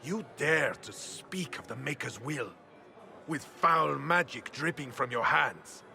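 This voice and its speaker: Sharp-voiced, haughty nobleman. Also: medium pitch, expressive, slow pace, gravelly, faint music behind, exaggerated voice. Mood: sneering contempt. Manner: sneering, sarcastic, haughty. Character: arrogant, contemptuous nobleman marquis picking a public quarrel. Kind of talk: video game dialogue acting.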